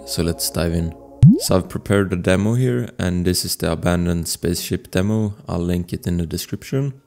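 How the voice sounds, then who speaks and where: A man presenting a casual software tutorial as a voice-over, explaining informally step by step into a close microphone. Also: low voice. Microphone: close desktop microphone voice-over. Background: music.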